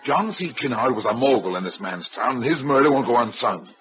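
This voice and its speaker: A man's voice: medium pitch, lively intonation, a little fast, breathy edge. An actor playing a hard-boiled private detective with a wry, clipped tough-guy delivery, in 1940s radio acting. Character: wisecracking hard-boiled male private eye. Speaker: narrator and lead detective. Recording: dull old radio recording.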